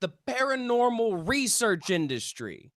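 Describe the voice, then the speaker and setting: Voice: medium pitch, very animated, unhurried, a bit bright, dry recording, clean studio sound. A performer in a table read playing Hank, a defensive, paranoid male amateur UFO researcher who speaks emphatically and stammers. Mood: earnest, self-important. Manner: stammering, emphatic, self-serious. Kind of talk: table read of a comedy script.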